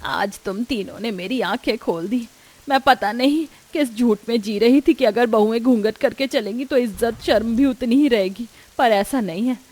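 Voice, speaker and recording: A woman's voice: a little high, normal speed, darkish tone, close to an everyday voice. A woman voicing a stern older mother-in-law in a story, bossy, suspicious and scolding. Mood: remorseful. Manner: scolding, commanding.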